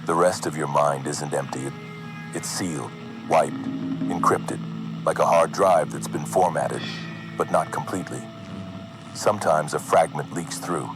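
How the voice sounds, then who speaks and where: A man narrating an analog horror video as a cryptic, foreboding figure, slowly and deliberately in short, measured phrases. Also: a bass voice, low-quality recording. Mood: ominous, cryptic. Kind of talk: slow scripted horror narration addressed to the listener. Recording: music under the voice.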